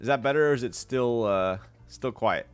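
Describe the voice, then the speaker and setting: A man's voice: medium pitch, moderate pace, clear, resonant tone, faint music behind. A man hosting a casual live stream, talking with viewers while he builds something. He sounds congested with a stuffy nose, and he is chatty and laughs often.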